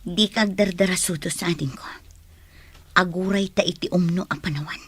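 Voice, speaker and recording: Actress as a concerned, measured older-sister figure. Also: female voice, lowish voice, fairly bright tone, slightly rough. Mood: cautioning, soothing. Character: concerned, steady older-sister village woman. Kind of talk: Ilocano radio drama acting.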